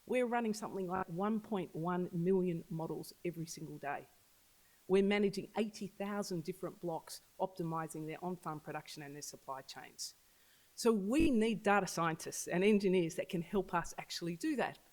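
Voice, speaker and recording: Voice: normal speed, darkish tone, poor audio. An agtech business leader giving an earnest launch speech to an audience at an event. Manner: earnest, pitching, repeats for emphasis. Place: event venue.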